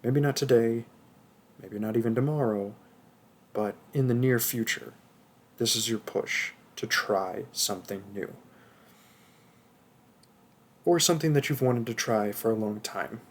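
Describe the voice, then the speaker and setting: A soft-spoken man, an audio roleplay performer, talking casually and encouragingly to his listeners. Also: mid-range voice, moderate pace. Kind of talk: casual outro addressed to listeners.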